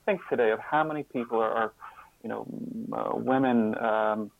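A man speaking as an interview guest, explaining in a halting way, repeating words and stressing key terms. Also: medium pitch, creaky, no echo, narrow, tinny audio. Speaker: interview guest, author and sociologist.

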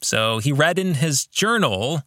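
American guy doing a reflective podcast monologue, earnest n questioning, really lively pitch, dry close sound. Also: measured pace, no room sound. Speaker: podcast host and author leading a book club.

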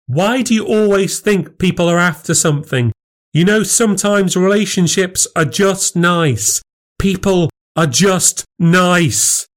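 A man's voice: medium pitch, steady tone, measured pace, few pauses, close to an everyday voice. A man voicing an indignant, sincere wife in audiobook dialogue, exasperated and defensive.

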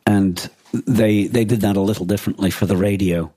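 A man co-hosting a podcast in casual discussion, halting often as he searches for words. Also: on the low side, steady tone, mellow, slightly rough, no room sound. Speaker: podcast co-host. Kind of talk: casual discussion of a radio drama.

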